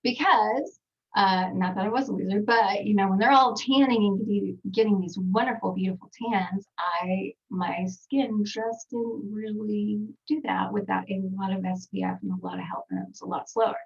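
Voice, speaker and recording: A woman's voice: medium pitch, lively intonation, moderate pace, creaky, slightly rough, recorded from a distance, lo-fi sound. Chatty Texan woman on a webinar. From Texas, United States. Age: 40s. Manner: chatty, with many fillers and asides. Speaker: skincare trainer presenting a webinar. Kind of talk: casual online presentation. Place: small home voiceover studio.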